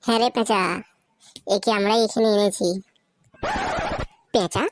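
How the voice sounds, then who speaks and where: A woman's voice: medium pitch, fairly even intonation, measured pace, heavy background noise, lightly acted. A woman voicing a menacing prankster who poses as a ghost in a comedy cartoon, with an Indian Bengali accent. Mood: owning up. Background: loud music.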